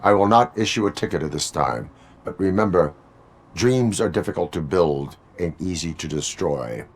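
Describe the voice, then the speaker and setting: An actor playing a stoic cyborg police officer in a video game, formal and deadpan, speaking in stiff moral maxims. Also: male voice, sits low, normal speed. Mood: stern, even. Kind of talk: video game cutscene acting.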